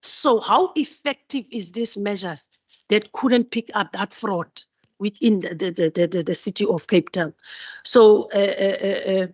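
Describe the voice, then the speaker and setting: A woman's voice: medium pitch, a little slow, darkish tone, no room sound, lo-fi sound. A woman committee member asking questions at a hearing, repeating and stumbling over words.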